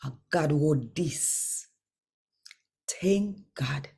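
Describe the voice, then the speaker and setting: Low, slow-paced Jamaican woman. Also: gravelly. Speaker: host reading daily scripture. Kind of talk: scripture reading and devotional talk in Jamaican Patois.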